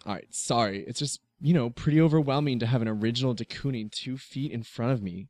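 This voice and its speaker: A man's voice: mid-range voice, fast talker, a little mellow, dry recording, close to an everyday voice. Earnest, pressing confidant, played by a man, dryly recorded. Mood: admiring. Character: earnest, pressing male confidant, admiring and blunt. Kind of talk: naturalistic web series drama acting.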